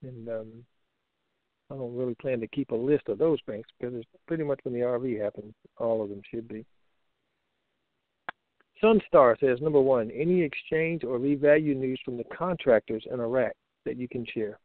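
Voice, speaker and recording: A man's voice: medium pitch, normal speed. A man co-hosting a casual conference call over a phone line, moderating and reading out listeners' questions.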